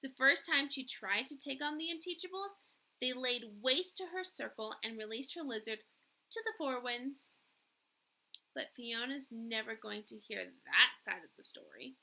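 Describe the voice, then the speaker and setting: A woman narrates a storybook in a high, bright voice. She reads expressively, as a teacher does when reading aloud to students. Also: animated, moderate pace, gravelly, low-quality recording.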